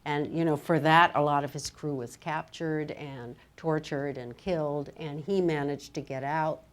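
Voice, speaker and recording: A woman with a low voice leads a literary conversation as a fellow writer. She muses aloud in a halting, informal way, often breaking off for small asides. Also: fairly even intonation.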